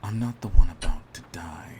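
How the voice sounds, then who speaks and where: A man playing a cold, smug hitman in an audio roleplay, speaking in a theatrical, menacing and deliberate way. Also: sits low, warm tone, a little hiss or hum, lightly acted. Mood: smug menace. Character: cold, smug male hitman holding his target at gunpoint. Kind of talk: scripted ASMR audio roleplay.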